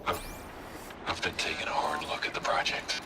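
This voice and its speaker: A man's voice: medium pitch, animated, moderate pace, heavy background noise, lightly acted. An actor playing a bitter, unhinged, obsessive man in a video game, muttering intensely in fragments. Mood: obsessive, hushed. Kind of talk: dramatic video game acting.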